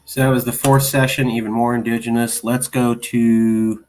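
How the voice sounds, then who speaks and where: A man's voice: medium pitch, flat delivery, normal speed, a little mellow, a little hiss or hum. A man commentating over video clips of his group's work, emphatic and repetitive in a promotional way. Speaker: advocacy group leader.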